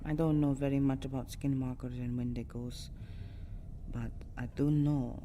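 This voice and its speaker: A woman playing a seductive, stern and threatening female vampire in an ASMR roleplay, with a dramatic, deliberate delivery. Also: very deep voice, fairly even intonation, mellow. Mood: condescending. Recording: clearly noisy, ambient background.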